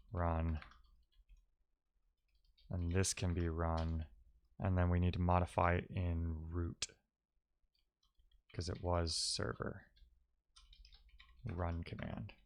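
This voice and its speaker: A man with a fairly low voice talks through his programming at a very slow pace. He sounds like a casual live streamer thinking out loud, muttering to himself and letting phrases trail away.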